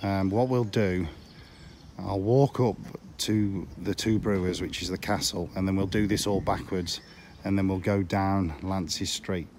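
A middle-aged man with a hoarse voice from a cold, rambling casually while giving a walking tour. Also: deep voice, steady tone, unhurried, darkish tone, a little hiss or hum. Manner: rambling, chatty, with frequent ums. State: hoarse, losing his voice from a cold. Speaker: vlogger touring filming locations. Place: outdoors on small town streets.

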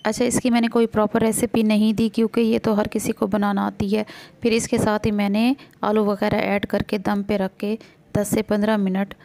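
A woman's voice: medium pitch, level tone, normal speed, creaky, dark tone. A middle-aged woman narrating her home cooking in a chatty voice-over, opening each step with "achha". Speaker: cooking vlogger.

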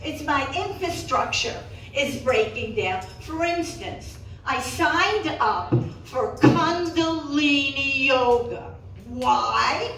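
Older female stand-up comic, high voice, slow bawdy delivery, live in a small room. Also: expressive, fairly bright tone. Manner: bawdy, self-deprecating, punchy delivery with drawn-out pauses. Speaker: stand-up comedian. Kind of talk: live stand-up comedy routine. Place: small cat cafe room with audience, indoors. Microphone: handheld microphone, recorded by camera from audience. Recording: roomy, echoey, distant mic, some room noise.

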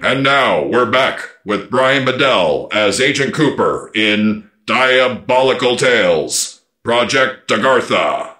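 A man performs a formal old-time radio announcer. He speaks slowly and deliberately in a mid-pitched, reverberant voice, with light music beneath. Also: lively intonation, sharp tone. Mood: measured. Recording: echoey, music underneath.

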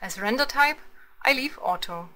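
Bright, higher-pitched woman narrating a tutorial. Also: expressive, normal speed. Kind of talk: scripted screencast narration.

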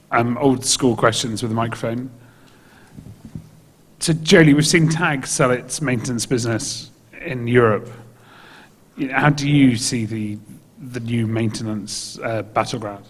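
A man's voice: medium pitch, a little slow, pauses often, breathy edge. A man moderating a conference panel, asking questions with a dry, joking touch into a handheld mic. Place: large conference hall stage. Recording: long hall reverb.

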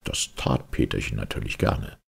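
Very deep, gravelly man telling fairy tales. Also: moderate pace. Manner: theatrical, expressive. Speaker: audiobook reader. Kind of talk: animated fairy-tale storytelling.

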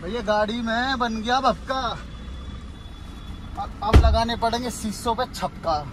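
A man's voice: very high voice, measured pace, background noise. A young man, a friend of the vlogger, trading jokes and playful rhymes in casual banter. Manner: joking banter.

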